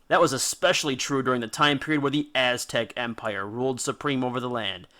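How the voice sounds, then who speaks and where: A man's voice: medium pitch, bright, forceful tone. A man hosting and telling scripted stories in an informal, emphatic, dramatic way. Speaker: host and storyteller of a mythology series. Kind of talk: scripted narration, colloquial storytelling.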